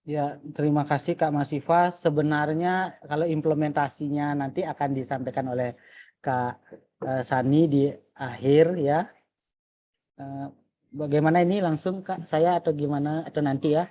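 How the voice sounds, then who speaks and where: A woman's voice: low voice, fairly even intonation, normal speed. A woman in her thirties speaking at a webinar, answering questions over a video call in a friendly way. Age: 30s. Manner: friendly, laughs easily. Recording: dull lo-fi call audio.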